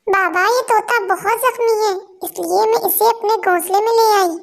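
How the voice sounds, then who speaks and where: A woman's voice: extremely high pitch, moderate pace, vocal fry. A woman voicing a sweet, earnest young female sparrow with a childlike manner in a cartoon story. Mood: caring.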